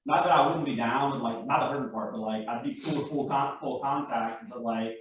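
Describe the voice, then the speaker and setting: A man's voice: mid-range voice, fairly quick, slight background noise. Male hobbyist panel guest, casual storyteller, heavily echoing, muffled distant recording. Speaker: live action roleplay enthusiast, panel guest. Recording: very echoey room, distant mic, muffled.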